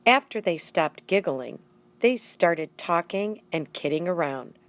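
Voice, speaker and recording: Slow, lowish-voiced woman reading a storybook. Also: expressive. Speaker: audiobook reader. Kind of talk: expressive children's storybook narration.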